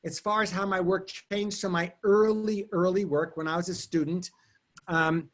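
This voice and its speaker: A man whose first language is German, telling stories and answering questions on a video call, quick and digressive with frequent restarts. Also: high voice, steady tone. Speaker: guest artist and lecturer. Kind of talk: answering questions in an online talk.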